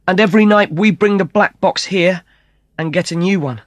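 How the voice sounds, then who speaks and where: Storybook captive: anxious young woman, voiced by a man, high and strained. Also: a little fast, slightly rough, dry recording. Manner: high, pleading and urgent.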